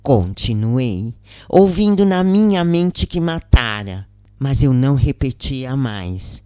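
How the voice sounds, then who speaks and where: A Brazilian narrator reads a dramatic first-person story at a very slow, measured pace. The voice sits at a middle pitch and is recorded close and dry, with no room sound. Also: very animated, warm tone, clear, resonant tone, dry recording. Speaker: narrator reading a spiritual story.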